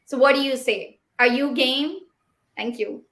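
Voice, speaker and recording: A woman in her thirties, originally from Pakistan with Pashto as her first language, pitches with bright enthusiasm. Her voice sits a little high, and she leans on rhetorical questions to pull listeners in. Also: measured pace. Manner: upbeat, persuasive, rhetorical questions. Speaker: startup founder pitching. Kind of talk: rehearsed pitch.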